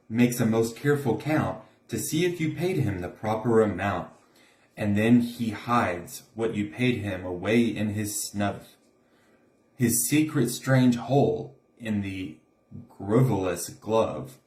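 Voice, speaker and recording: A man's voice: on the low side, slow pace, darkish tone. A man reading a rhyming children's storybook aloud as narrator. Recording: roomy, echoing room sound.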